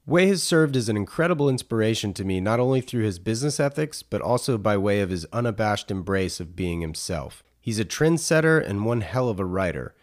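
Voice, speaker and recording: A man's voice: fairly low pitch, animated, brisk, few pauses. A man hosting a podcast, speaking as a presenter and interviewer. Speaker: podcast host. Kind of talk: scripted narration and interview.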